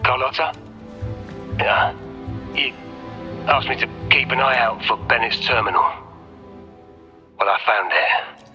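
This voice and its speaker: A cagey game informant, heard through a band-limited radio filter with music under it. His tone is piercingly bright, and he talks casually in evasive, halting bursts. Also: mid-range voice, muffled recording. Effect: radio call filter. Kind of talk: dramatic game dialogue.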